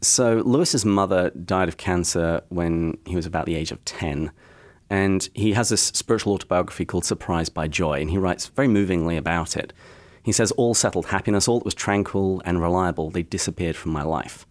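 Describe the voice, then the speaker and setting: A man with an English accent and a very low voice talks through history in a measured, explanatory way. He sounds like a podcast co-host walking a friend through a story, unhurried and clear. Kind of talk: casual podcast conversation.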